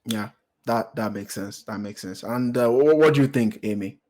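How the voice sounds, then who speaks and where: A man's voice: fairly low pitch, normal speed, warm tone. Nigerian man hosting a podcast. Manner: hesitant, repeats words, many fillers. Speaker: podcast host. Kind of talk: conversational interview.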